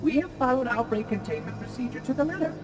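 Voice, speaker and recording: An actor voicing a small, ancient floating robot from a sci-fi video game, with fussy, pompous diction and a synthetic tinge, cheerful and officious with menace beneath. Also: male voice, very high-pitched, very animated, fast, far from the mic. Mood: matter-of-fact, insistent.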